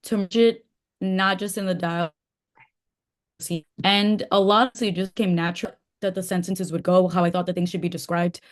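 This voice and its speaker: A woman's voice: on the low side, normal speed. A woman whose first language is Spanish, talking casually as an author guest over a video call, with frequent fillers. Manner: relaxed, chatty with frequent fillers. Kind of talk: casual interview talk.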